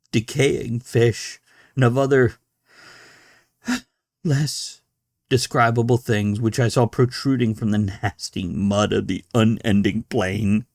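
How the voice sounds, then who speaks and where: Man reading as a slow, gravelly, haunted doomed sailor. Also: medium pitch, darkish tone, no echo, clean studio sound. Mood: haunted dread. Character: despairing morphine-addicted sailor writing his final horrified confession.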